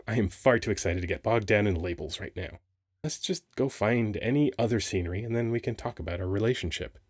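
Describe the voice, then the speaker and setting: A man playing a handsome, playful male sphinx guarding a temple in an ASMR roleplay, talking in a teasing, expressive, conversational way. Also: fairly low pitch, no echo, clean studio sound. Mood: excited, playful. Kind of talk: ASMR roleplay audio acting.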